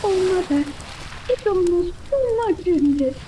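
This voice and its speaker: Very high-voiced earnest radio girl. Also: lively intonation, a little slow, mellow. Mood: anxious, earnest. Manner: earnest, carefully spoken. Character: earnest, anxious young island girl, long-lost daughter. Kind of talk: 1930s radio serial acting. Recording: muted, faintly hissy 1930s radio recording.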